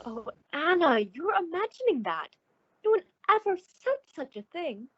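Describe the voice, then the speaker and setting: A woman's voice: very high voice, big pitch swings, normal speed, no room sound, highs cut off. A woman acting a naive, nervous, placating young-sounding character in an old-time radio suspense play, with exclamatory delivery. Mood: breezy, reassuring.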